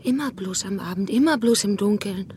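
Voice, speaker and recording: A woman acting a young sweetheart in a secret romance, urgent and pleading. Her often breathy radio-play voice comes with clear background ambience and noise. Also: mid-range voice, normal speed, darkish tone, natural voice. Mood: impatient, pleading. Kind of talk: radio drama dialogue.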